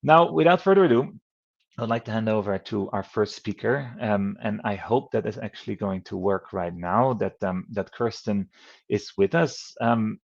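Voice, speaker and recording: A man from the Netherlands in his thirties hosts an online event over a video call. His voice is low with a gravelly edge, and the audio is dull and lo-fi. He talks in a friendly, rambling way with many fillers. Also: moderate pace. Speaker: event moderator.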